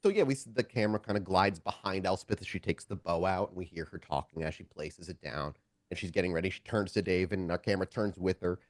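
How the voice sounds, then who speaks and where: A man's voice: deep voice, quick pace, no echo, studio quality. A man running a tabletop game as storyteller, narrating scenes off the cuff in a wordy way and often rephrasing mid-sentence.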